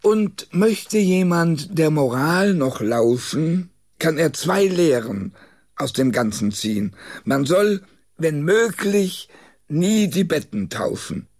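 Slow, gravelly man reciting comic verse. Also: fairly high pitch, expressive, a little mellow. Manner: measured, deliberate storytelling delivery. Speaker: reader of a children's poem.

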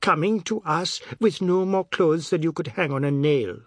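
A man's voice: a little high, wildly swooping pitch, slow pace, darkish tone, husky, no echo, studio quality, pitched above the performer's own voice, exaggerated voice. A man voicing a stern old matriarch in a story, firm, scornful and cutting.

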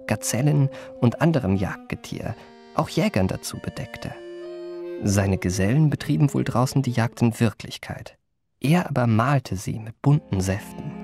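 An actor playing a reflective gentleman memoirist, narrating a radio play in ornate literary phrases with a creaky voice. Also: mid-range voice. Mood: absorbed, reflective. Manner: ornate, measured literary delivery.

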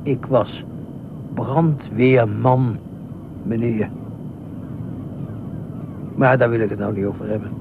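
Through a thin, phone-like recording with clear noise comes an eccentric middle-aged beach watchman in a radio play. He is pompous and officious, rambling on with self-importance and excitable indignation. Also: mid-range voice, slow, pauses often. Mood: proud. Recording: noisy, tinny phone-like radio drama recording.

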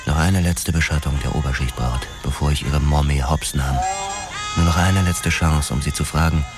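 Very deep-voiced noir cop. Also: male voice, normal speed, music in the background. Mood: grim, resigned. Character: hard-boiled, world-weary male police detective narrator. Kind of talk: noir radio play narration.

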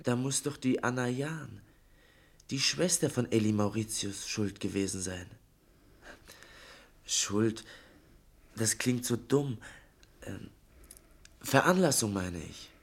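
An actor in a 1960s German radio drama playing an earnest, inquisitive schoolboy, deferential and hesitant but stubbornly probing. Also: male voice, fairly low pitch, slow, vocal fry, long pauses, heavily acted.

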